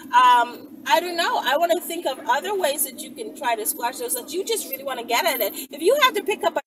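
A woman's voice: high voice, expressive, a little fast. A woman in her thirties giving casual advice to camera in a blunt, emphatic, sweary way. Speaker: vlog host. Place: inside a car on the road. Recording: distant mic, clear car road noise. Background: vehicle noise.

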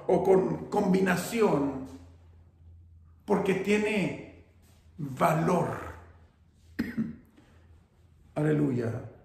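A man in his fifties, a pastor with a northern Mexican Spanish accent, preaching slowly and emphatically from his home, repeating key words. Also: sits high, creaky. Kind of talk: sermon. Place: home living room, indoors. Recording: roomy echo.

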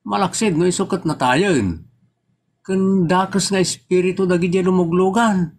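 Actor as earnest, suspicious young Ilocano husband, comedy drama: very high male voice, nagging questions, faint noise. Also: measured pace. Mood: worried. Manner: questioning, insistent.

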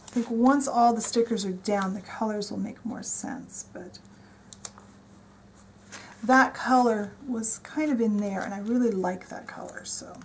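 A woman's voice: mid-range voice, a little slow, slight background noise, low-quality recording. A woman casually narrating as she decorates her planner, chatty and enthusiastic, thinking aloud as she works at a desk. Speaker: planner vlogger. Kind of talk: casual show-and-tell narration. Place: indoors at a desk.

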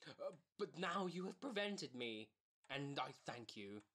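A husky-voiced schemer played by an actor from an old stage comedy audio drama. The pitch sits in the middle, and the delivery is indignant and wheedling, stumbling eagerly through a sales pitch. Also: sing-song, a little slow, a bit bright, heavily acted. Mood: wheedling, aggrieved. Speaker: amateur actor. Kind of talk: early modern stage comedy acting.

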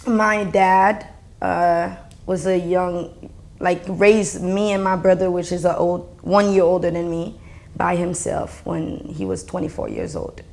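A woman's voice: lowish voice, darkish tone. A young Haitian woman with a Haitian accent, talking earnestly as an interview guest in an indoor studio. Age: young adult. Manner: earnest, switching between English and Haitian Creole. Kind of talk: candid conversational interview.

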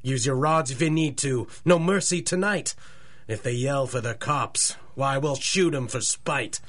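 Tough, callous 1930s gangster boss. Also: male voice, medium pitch, measured pace, fairly bright tone. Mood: menacing, bitter. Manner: tough-guy slang delivery. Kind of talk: old-time radio drama acting.

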